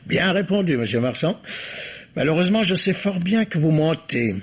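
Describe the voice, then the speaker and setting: An actor playing a shrewd, courteous police commissioner who questions suspects formally in a radio mystery. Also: male voice, on the high side, animated, moderate pace, slightly rough. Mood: pressing, confident. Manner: formal, probing, polite. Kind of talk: French radio mystery drama acting. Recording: dull, band-limited radio drama recording.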